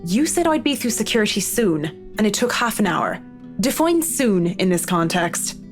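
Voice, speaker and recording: Man playing a polite, clipped young woman, high voice. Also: big pitch swings, moderate pace, faint music behind. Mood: prim.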